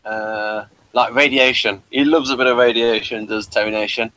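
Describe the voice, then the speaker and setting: Man, podcast host, medium pitch, casual episode commentary, halting and repetitive phrasing. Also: steady tone, sharp tone, poor audio.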